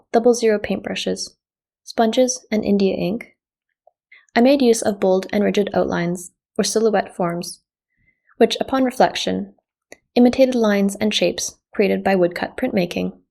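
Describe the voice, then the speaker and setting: A Canadian woman whose first language was Japanese, a visual artist reading her artist statement in a measured, reflective way. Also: mid-range voice, gravelly, a little mellow. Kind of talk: scripted artist statement narration.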